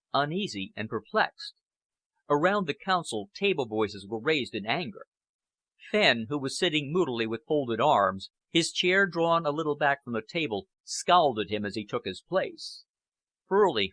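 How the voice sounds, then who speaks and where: A man's voice: mid-range voice, fairly bright tone, no echo. A man reading a dramatic novel aloud as an audiobook narrator.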